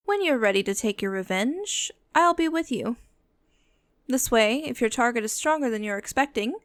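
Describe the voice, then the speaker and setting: Woman as a bossy, high-voiced female vampire. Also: dry recording. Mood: protective, darkly amused. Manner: commanding, condescending, unhurried, dry-humoured. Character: bossy, protective female vampire, immortal, businesslike and dryly menacing. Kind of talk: scripted ASMR roleplay audio, addressing the listener.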